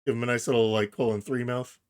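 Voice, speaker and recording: A man narrates a software tutorial in a casual, informal way. He walks through things step by step, thinking aloud as he works. His voice sits at a middle pitch. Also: level tone, fast talker, smooth. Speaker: tutorial host.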